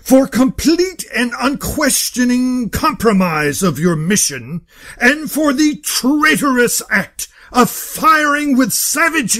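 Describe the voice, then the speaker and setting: A man's voice: high voice, fairly bright tone, breathy edge, heavily acted. A man playing a stern, pompous court-martial judge in an audio drama, speaking in formal, declamatory oratory.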